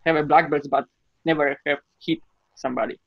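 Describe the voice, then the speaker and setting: A young man from Poland with a Polish accent, a podcast guest speaking halting English over a video call, with frequent fillers and laughs. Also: a little high, fairly even intonation, vocal fry, no room sound, highs cut off. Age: young adult. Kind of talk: casual chat.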